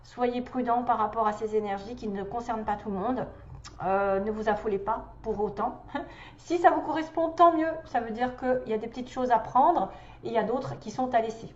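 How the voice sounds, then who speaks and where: A woman with a slight cold talks through a tarot reading in a loose, chatty way, pausing on fillers and laughing softly. Her voice sits a little high. The sound is dull and bounces around an echoey room. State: has a cold. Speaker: tarot reader.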